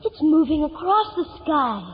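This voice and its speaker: A woman's voice: high voice, expressive, moderate pace. A woman playing an earnest, imaginative schoolboy full of breathless wonder in 1950s radio drama style. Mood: awed wonder. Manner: breathless, earnest, wide-eyed. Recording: dull, noisy vintage radio recording.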